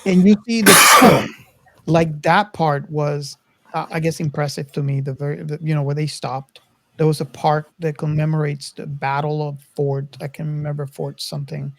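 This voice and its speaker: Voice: mid-range voice, level tone, normal speed, fairly bright tone, no room sound. A podcast co-host casually discussing a film, hesitant and often searching for words.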